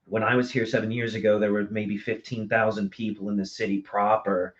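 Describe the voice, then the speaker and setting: A man's voice: fairly low pitch, flat delivery, fairly quick, muffled recording. A man talking casually and at length as a guest in an interview, chatty and enthusiastic about his work. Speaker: interview guest from a small theater company. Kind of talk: casual live interview talk.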